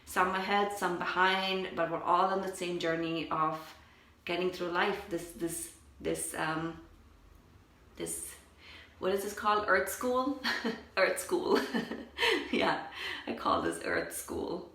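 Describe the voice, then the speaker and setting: A woman's voice: fairly low pitch, big pitch swings, takes it slowly, long pauses, sharp tone. A woman, a spiritual coach, chatting and reflecting on a livestream as she answers her viewers. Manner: chatty and reflective, often says "like". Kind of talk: casual livestream talk to viewers. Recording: echoey room.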